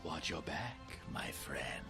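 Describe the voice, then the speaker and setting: A man voicing a polite, sly creature merchant in a video game, speaking in a soft, courtly way. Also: a bass voice, lively intonation, takes it slowly, gravelly, exaggerated voice. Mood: hushed. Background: game music.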